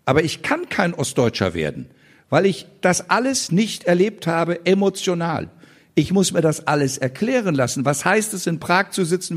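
This is an older man in his sixties speaking as a guest before a live audience. His voice sits a little high for a man, and he talks with passion and force, with bursts of indignation. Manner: passionate and emphatic, with indignant outbursts. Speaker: politician guest on a panel. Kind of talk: animated stage conversation. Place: public event in front of an audience.

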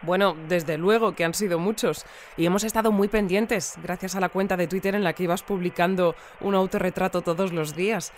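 An actress playing a polite, composed female news presenter, speaking earnestly and in measured phrases in an audio fiction bulletin. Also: fairly low pitch, fairly even intonation, slight background noise, lightly acted. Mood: curious, polite.

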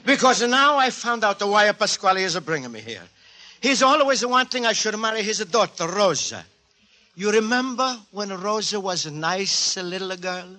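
An actor playing a naive, cheerful Italian immigrant antique dealer in broken English with an Italian accent, earnest and expressive, in 1940s radio comedy style. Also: male voice, high-pitched, normal speed. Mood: fond, chatty. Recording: 1940s radio recording, live studio audience.

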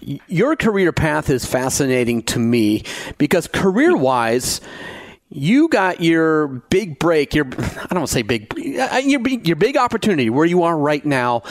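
A man's voice: a little high, dry recording. A man with an American accent hosting an interview and asking questions conversationally. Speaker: interviewer, host.

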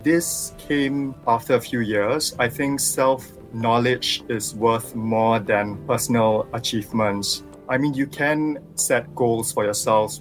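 Singaporean sculptor on a phone line. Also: male voice, medium pitch. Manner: thoughtful, measured explanations. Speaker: visual artist and sculptor guest. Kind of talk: phone-in radio interview.

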